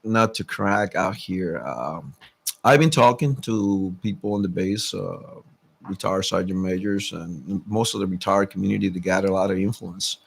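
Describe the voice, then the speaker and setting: Middle-aged guy on a radio talk show, low husky voice, lots of uhs, earnest. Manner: halting, many fillers, earnest. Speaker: city council candidate, guest. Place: radio studio.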